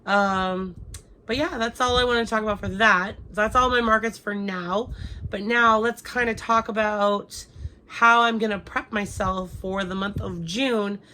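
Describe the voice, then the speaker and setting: A woman's voice: medium pitch, fairly even intonation, a little slow, sharp tone, a little hiss or hum. A young woman with a cold, chatting casually to her viewers with lots of ums and restarts. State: has a cold. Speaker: crochet vlogger. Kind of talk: casual chat to camera.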